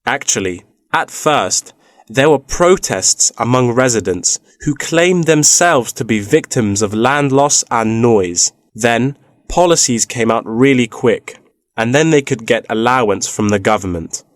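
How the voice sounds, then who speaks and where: An actor plays a knowledgeable man in a scripted listening-test dialogue. His voice sits at a medium pitch, with a measured, informative delivery. The recording is dry, with no room sound. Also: lively intonation, no echo, natural voice. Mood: explanatory. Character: knowledgeable man explaining wind farm technology.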